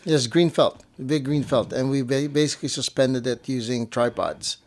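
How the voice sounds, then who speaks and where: A man's voice: mid-range voice, moderate pace. Man, mid-range voice, informal co-host talking through details conversationally, restating phrases to clarify. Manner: informal, repeats and rephrases to clarify. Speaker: show co-host. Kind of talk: casual conversational explanation.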